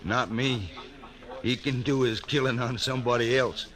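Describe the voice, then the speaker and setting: A man playing a rough, nervous frontier hide skinner in an old radio western, with a folksy drawl. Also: medium pitch, fairly even intonation, moderate pace, fairly bright tone, close to an everyday voice. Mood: wary. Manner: folksy drawl, eager and wary. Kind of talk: 1950s radio western acting.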